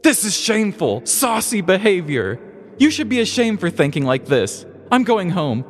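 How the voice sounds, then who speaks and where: A man's voice: high-pitched, big pitch swings, normal speed, big-room sound, soft background music, pitched above the performer's own voice. A man voicing a prudish boyfriend in a comic story, pompous and full of mock indignation. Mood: indignant.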